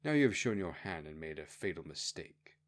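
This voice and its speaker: A man reads as a calculating, vengeful ruler in an audiobook. His voice sits low, and the delivery is measured, formal and quietly menacing. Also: very animated. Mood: cold resolve. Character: cold, calculating, vengeful imperial schemer, man.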